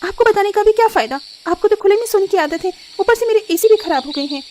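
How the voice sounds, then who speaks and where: A woman playing a shrill, sulky young sister-in-law. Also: animated, fast talker, background noise. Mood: exasperated. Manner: whiny, snappish complaining. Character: sulky, haughty young city-minded sister-in-law, complaining.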